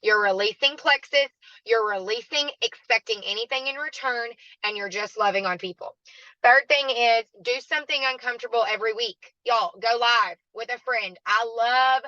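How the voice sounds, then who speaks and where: Raspy, bright-voiced woman. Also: fairly high pitch, moderate pace, dry recording, dull audio. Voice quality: raspy, hoarse voice. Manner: chatty and encouraging, addresses listeners as "y'all". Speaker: guest speaker at a team training. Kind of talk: motivational training talk.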